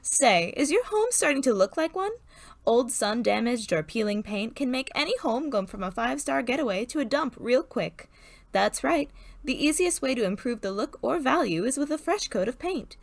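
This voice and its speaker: A chipper female sponsor's announcer acted by a woman in an old-time radio ad parody. Her voice sits fairly high with a dry sound, and she is polished, wholesome and sweetly persuasive. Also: very animated, moderate pace, gravelly, no room sound, exaggerated voice. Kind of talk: parody 1940s radio advertisement.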